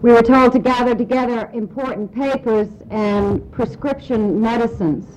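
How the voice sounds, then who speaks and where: An American woman giving personal testimony in halting, short phrases, pausing for an interpreter. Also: fairly high pitch, darkish tone, slight background noise. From United States. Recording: dull lo-fi archive radio tape.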